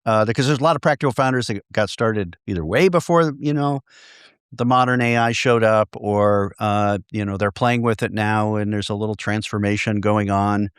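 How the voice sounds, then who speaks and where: A man hosting a business podcast, interviewing a founder in a relaxed, chatty way with lots of short encouraging replies. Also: on the low side, moderate pace, clean tone, dry recording, clean studio sound. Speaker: podcast host.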